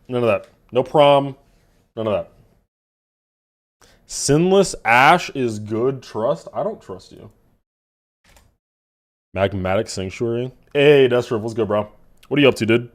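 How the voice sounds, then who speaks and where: A man in his twenties chatting casually with his live-stream chat, laughing and swearing in quick asides. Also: mid-range voice, expressive. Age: 20s.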